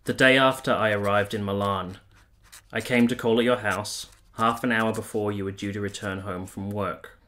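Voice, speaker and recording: A man playing an ardent, self-regarding young army officer, narrating a period radio drama in a formal, measured, literary manner. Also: on the low side, fairly even intonation, pushed and bright, slight background noise. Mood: tender reminiscence. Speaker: narrator and lead character.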